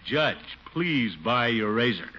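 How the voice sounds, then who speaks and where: A man's voice: medium pitch, very animated, slow pace, sharp tone, slight background noise, heavily acted. A pompous middle-aged uncle from an old radio comedy, blustering and easily flustered. His voice swoops dramatically, through a dull vintage radio recording. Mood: exasperated. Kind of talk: 1940s radio comedy acting.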